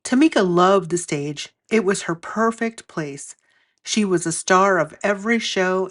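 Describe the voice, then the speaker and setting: A woman with a slightly low voice reads a picture book aloud for children. Her narration is expressive, with deliberate phrasing and key words drawn out for emphasis.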